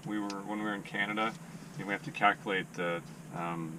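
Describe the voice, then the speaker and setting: Low-voiced easygoing man vlogger. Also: fairly quick, noisy background. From United States. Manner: relaxed, teasing, easygoing. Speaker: van life vlogger. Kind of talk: casual travel vlog chat.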